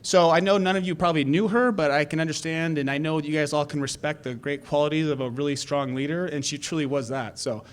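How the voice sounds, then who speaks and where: High-voiced man making informal announcements. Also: fairly even intonation, quick pace, hardly any pauses, clear, resonant tone. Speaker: event sponsor speaker, banker.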